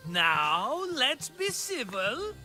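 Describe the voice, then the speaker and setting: Game role: male hustler, very high and sharp, swooping, grandiose and wheedling. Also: huge pitch swings, very slow, soft background music, heavily acted. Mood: nervous, placating. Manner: wheedling, grandiose, salesman-like patter.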